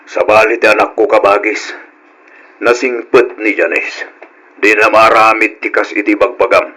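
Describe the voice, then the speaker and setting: A man's voice: on the low side, flat delivery, normal speed, pushed and bright, slight background noise. An actor playing a weary, protective older father from a poor village, earnest and plain, with an Ilocano accent, in an old radio drama. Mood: defensive.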